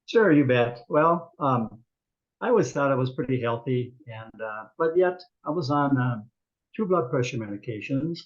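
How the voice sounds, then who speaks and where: A man in his seventies, a guest telling his story in a casual, unhurried way with many pauses and ums. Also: mid-range voice, animated. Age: 70s. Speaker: guest business partner. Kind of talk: casual video call chat. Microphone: video call. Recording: muffled video call audio.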